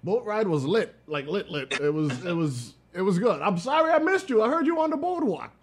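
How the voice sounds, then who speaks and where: A man's voice: high voice, big pitch swings, fairly quick, background noise. A man hosting a radio show, laid-back and joking in casual chat.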